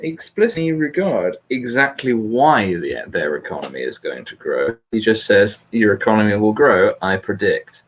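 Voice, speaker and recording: Deep-voiced man in casual discussion. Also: big pitch swings, normal speed, hardly any pauses. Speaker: host discussing a political transcript. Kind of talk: casual conversational political discussion.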